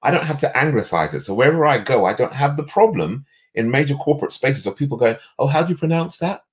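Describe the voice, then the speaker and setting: A middle-aged man with a deep, resonant voice, talking emphatically as a guest on a video call from a home office. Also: quick pace. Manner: animated, emphatic storyteller. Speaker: guest, leadership coach.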